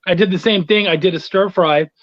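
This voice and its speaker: High-voiced man on a dull, dry recording. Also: a little fast, no room sound. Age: middle-aged. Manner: chummy, profane. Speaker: podcast guest. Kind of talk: casual storytelling chat.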